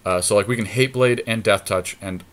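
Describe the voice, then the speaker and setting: A man's voice: on the low side, fairly quick. A man co-hosting a podcast, chatting casually and thinking aloud as he plays a card game. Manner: deliberating, thinks out loud. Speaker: podcast co-host.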